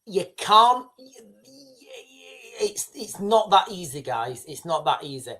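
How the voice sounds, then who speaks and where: A man's voice: sits high, takes it slowly. A middle-aged man hosting a casual news livestream, talking straight to his viewers with many restarts and asides. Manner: excitable, addresses viewers directly, rambling with restarts.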